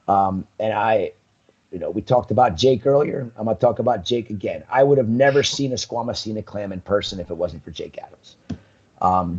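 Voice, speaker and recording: A man's voice: on the low side, a little mellow, breathy edge, lo-fi sound. A man, a guest on a live talk stream, telling rambling stories full of ums over a video call. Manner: rambling storyteller, many ums, laughs often. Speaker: guest, coral business owner. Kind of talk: casual live-stream chat.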